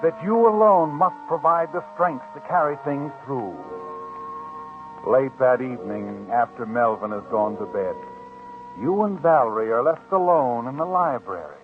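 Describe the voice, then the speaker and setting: A man's voice: mid-range voice, animated, unhurried, close to an everyday voice. A man playing a sinister, all-knowing narrator in 1940s radio suspense, insinuating and dramatic, taunting the culprit. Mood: ominous. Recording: narrow-band old radio recording. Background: dramatic music.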